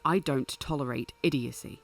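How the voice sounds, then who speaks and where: A man's voice: mid-range voice, normal speed, vocal fry, faint music behind, close to an everyday voice. A man playing a stern, studious young student with a curt, disdainful manner in an audio drama. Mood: scornful. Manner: curt, scornful.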